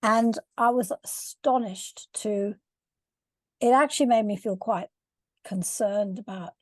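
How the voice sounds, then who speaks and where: A woman's voice: mid-range voice, takes it slowly, no room sound. An older woman coach sharing a comment in an online discussion, hesitant and searching for words. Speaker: coach and supervisor. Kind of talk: video call discussion. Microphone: video call.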